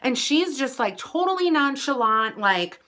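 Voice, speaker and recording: Bright-voiced American woman telling stories. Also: sits high, unhurried. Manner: animated, chatty, dramatic emphasis with acted-out quotes. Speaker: vlogger. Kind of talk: casual personal storytelling to camera.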